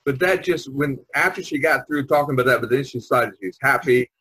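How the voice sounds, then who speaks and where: A man's voice: mid-range voice, quick pace, bright, forceful tone, breathy edge, no room sound. An older man hosting a casual group chat among artists over an online call, speaking in a rambling way peppered with "you know". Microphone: online video conference call.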